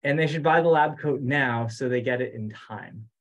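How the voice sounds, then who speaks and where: A man with an average-pitched voice speaks informally on a group conference call. He sounds like the one organizing things, and he often repeats a phrase before he finishes it. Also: normal speed. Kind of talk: casual meeting chat. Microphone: online conference call.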